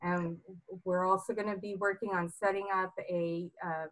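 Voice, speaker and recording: A woman hosting an online group meeting, rallying and excitable, losing her voice a little. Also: fairly low pitch, moderate pace, rough recording. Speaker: meeting host.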